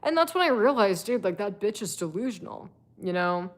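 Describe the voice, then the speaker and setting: A woman's voice: mid-range voice, very animated, a little fast. A woman in her twenties giving a blunt, chatty live-stream monologue, full of fillers and swearing. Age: 20s.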